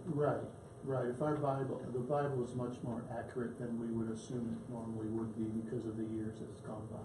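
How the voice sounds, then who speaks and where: A man's voice: medium pitch, little pitch movement, brisk. A man hesitantly asking his teacher a question in class. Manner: hesitant, groping for words. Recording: roomy echo, faint hiss.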